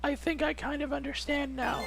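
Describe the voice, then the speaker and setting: A woman voicing an excitable, dramatic young game heroine in a read-aloud over music. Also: sits high, normal speed, gravelly. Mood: sad, reflective. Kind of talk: game dialogue read-aloud in a let's play.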